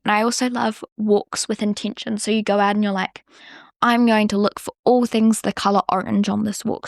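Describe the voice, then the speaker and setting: A woman's voice: on the high side, gravelly, no echo, clean studio sound. A young woman with a New Zealand accent, hosting a podcast in a chatty, rambling way full of "like". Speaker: podcast host. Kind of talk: casual solo podcast chat.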